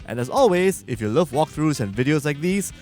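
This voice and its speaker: A man's voice: a little high, animated, a little fast, a little mellow, faint music behind. Man narrating a game walkthrough. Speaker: channel host. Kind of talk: scripted narration.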